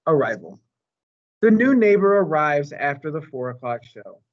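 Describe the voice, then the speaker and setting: A man reading a children's story aloud as narrator, in an expressive storytime style. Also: a little high, moderate pace, muffled recording. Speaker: storytime reader.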